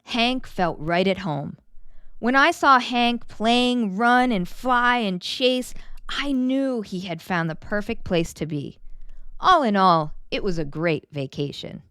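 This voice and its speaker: Clear-voiced woman reading a children's storybook. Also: mid-range voice, no echo, studio quality. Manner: animated, dramatic storytelling with drawn-out emphasis. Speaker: children's story reader and host. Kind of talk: expressive children's storybook read-aloud.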